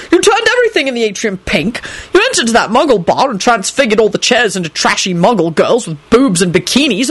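A woman narrator puts on an exasperated father from a storybook, once an officer. His voice climbs high as he grumbles. He is gloomy, grudging and thoroughly indignant. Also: breathy edge, dry recording. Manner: irritable, indignant complaining. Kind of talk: audiobook-style character dialogue.

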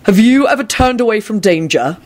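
A woman's voice: low voice, fairly even intonation, unhurried, darkish tone. A woman voicing a blunt, loyal, impatient man in an audiobook, speaking in a dry, firm, clipped way. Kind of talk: audiobook character voice.

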